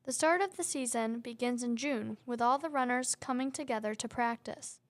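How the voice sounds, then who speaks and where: Young woman reading a script, higher voice. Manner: reads from a script, brisk. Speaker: student reporter and narrator. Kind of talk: scripted narration.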